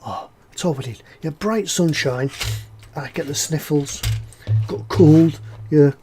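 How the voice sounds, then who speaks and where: A fairly high-voiced man with a snuffly, stuffed-up sound from a cold. He chats in a relaxed, rambling way as he works on a model kit, filling pauses with "uh" and circling back over his own words. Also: soft background music. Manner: rambling and chatty, with frequent "uh"s and self-repeats. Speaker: scale-model builder hosting a live stream. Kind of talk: casual livestream chat, reading out viewer comments.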